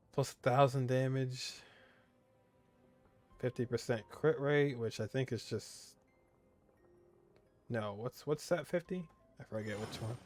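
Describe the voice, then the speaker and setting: A young male gaming streamer talks casually through his play, dropping short exclamations and muttered asides. His voice is medium-pitched, and faint game music runs behind him. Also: a little mellow. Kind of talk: casual gameplay commentary. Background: video game music.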